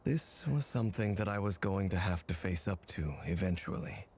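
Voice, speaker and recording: A man voicing a grave, resigned game character who speaks quietly and solemnly. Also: sits low, animated, moderate pace, slight background noise. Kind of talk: video game voice acting.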